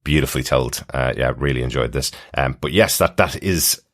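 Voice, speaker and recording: A man's voice: extremely low pitch, moderate pace. A man co-hosting a podcast, casually recapping and commenting on television in descriptive, flowing explanations. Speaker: podcast co-host.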